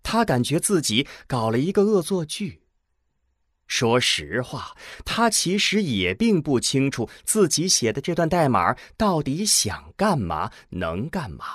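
A man's voice: mid-range voice, sing-song, a little slow, a bit bright, no echo, clean studio sound. A man reading scripted narration for a science fiction audio drama.

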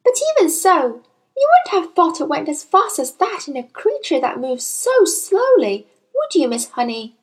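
A woman voicing a small, bright, earnest young girl in a children's audiobook, breathless and emphatic in her delivery. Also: extremely high pitch, very animated, normal speed, pitched above the performer's own voice. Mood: eager, curious.

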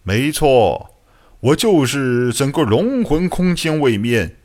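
A man voicing an ancient, calm and mysterious spirit of space in an audiobook, with a solemn, enigmatic manner. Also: medium pitch, takes it slowly.

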